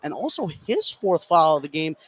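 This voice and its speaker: An American male play-by-play announcer with a fairly high voice calls a live basketball game for radio. He speaks over clear background noise from the gym. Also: big pitch swings, normal speed. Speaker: play-by-play sports commentator. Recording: clearly noisy gym ambience.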